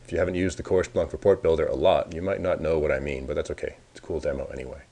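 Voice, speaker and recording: Guy with a really low voice doing a casual screencast tutorial, kinda thinking out loud as he goes. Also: fairly even intonation, rapid-fire. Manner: informal, thinking aloud while working. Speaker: software presenter giving a demo.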